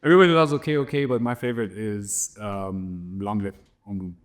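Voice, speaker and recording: A man's voice: on the low side, steady tone, a little fast. A man in his thirties with a slight German accent, a DJ telling stories about his records in a casual interview, rambling and tagging his sentences with "right?". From Germany and Namibia. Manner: rambling, many restarts and "right?" tags. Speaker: DJ guest.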